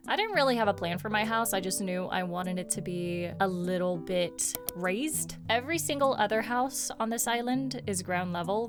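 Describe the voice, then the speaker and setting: A woman giving a casual, chatty narrated tour of her video game island, with playful jokes and asides. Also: medium pitch. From Swedish heritage.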